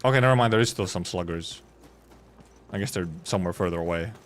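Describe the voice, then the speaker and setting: A man's voice: deep voice, fast talker, soft background music. A man giving casual live gameplay commentary as a streamer, rambling and muttering to himself. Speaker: game streamer.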